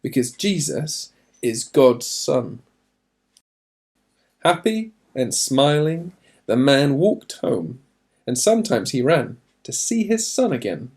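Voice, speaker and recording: A man reading a children's storybook aloud in an expressive narrating style, with deliberate emphasis. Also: medium pitch.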